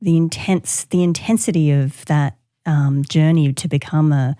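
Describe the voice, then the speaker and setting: A woman's voice: sits low, steady tone, a little slow, mellow, no room sound, clean studio sound. A woman co-hosting a reflective, appreciative discussion of a story. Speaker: podcast co-host.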